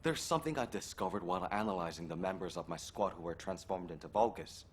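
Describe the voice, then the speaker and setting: An actor playing a loyal, anxious young soldier in a video game, speaking with earnest emotion. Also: male voice, low-pitched, quick pace, a little hiss or hum, lightly acted. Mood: sorrowful resolve. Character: loyal, anxious young male soldier pleading with his captain.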